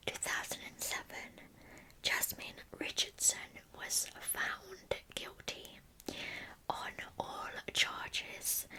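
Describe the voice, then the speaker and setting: A woman narrating a true crime story as ASMR, in a breathy, unvoiced whisper close to the microphone with slow, deliberate storytelling. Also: sharp tone, rough recording.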